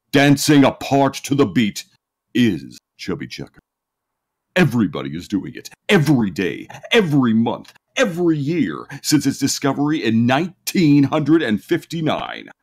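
Animated man bantering on a podcast. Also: on the low side. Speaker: podcast panelist and reader. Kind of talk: casual comedic banter and reading aloud.

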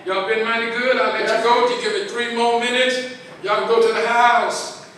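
Older preacher with a high voice in an echoey church. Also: male voice, level tone, fairly bright tone, slight background noise. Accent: Southern US. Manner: preaching with call-and-response, drawn-out emphasis. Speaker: pastor. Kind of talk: sermon. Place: large church sanctuary with congregation. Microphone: handheld mic. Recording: very echoey church hall, heard from a distance. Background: congregation calling back.

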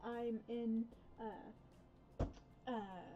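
Rambling young woman, soft sloppy r's. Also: on the high side, steady tone, extremely slow, phone-quality sound, music in the background. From USA. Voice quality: soft r sounds from a past speech difficulty. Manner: rambling, self-correcting, hesitant. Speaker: gaming vlogger. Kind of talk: casual gameplay chat.

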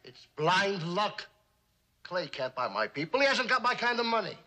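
An actor playing an edgy, stubborn crime boss, terse and impatient, in a television crime drama. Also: male voice, sits high, big pitch swings, normal speed, pushed and bright, full character voice. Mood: stubborn, exasperated. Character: edgy, stubborn crime boss, vain about his money. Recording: noisy old TV drama soundtrack.

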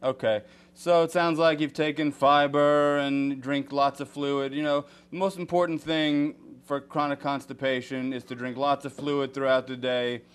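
Smooth-voiced man giving calm medical advice. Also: mid-range voice, normal speed. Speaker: guest doctor, surgeon. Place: TV studio set.

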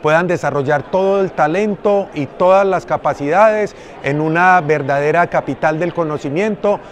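A man's voice: fairly high pitch, moderate pace. A middle-aged Colombian man, an official, making a public appeal to camera. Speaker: education official. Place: large indoor exhibition hall.

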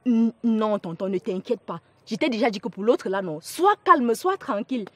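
A woman's voice: a little high, animated, unhurried. A woman with a Cameroonian accent playing an evasive, pleading young gossip in a family drama. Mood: gossipy, reassuring.

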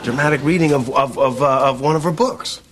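A man's voice: medium pitch, fairly quick, close to an everyday voice. An actor in his thirties playing a simple, eager struggling actor in a sitcom. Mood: earnest. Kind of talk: sitcom acting and outtakes. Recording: noisy TV soundtrack with loud studio audience.

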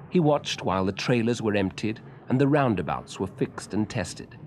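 A British man narrating a children's story in an expressive, scripted storytelling manner. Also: medium pitch, slight background noise. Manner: expressive, clearly paced storytelling.

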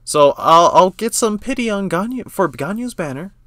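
A young man giving casual, excitable gamer reaction commentary, slangy and exclamatory, often repeating himself. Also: high voice, moderate pace, no echo, clean studio sound. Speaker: reaction video host.

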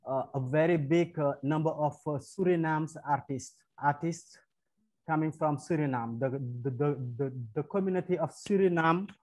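Man, middle-aged, French-speaking accent, slightly high voice, halting English, close dry earphone mic. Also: a little mellow, no echo. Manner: hesitant, halting second-language English. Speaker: webinar participant asking questions. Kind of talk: spontaneous audience question. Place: indoors on a video call. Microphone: wired earphone mic held near mouth.

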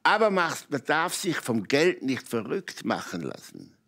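An older man with a Swiss German accent, telling personal stories as a talk show guest in emphatic, halting phrases with repetitions. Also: on the high side, big pitch swings, pushed and bright. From Switzerland. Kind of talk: candid personal storytelling in conversation. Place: TV talk show studio with audience.

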